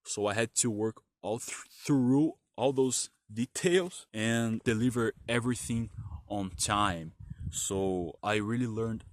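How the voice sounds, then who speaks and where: A man whose first language is Portuguese, talking casually about his work history in a halting way with many restarts and fillers. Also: on the low side, no room sound. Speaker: vlogger telling his career story. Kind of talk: casual spoken monologue.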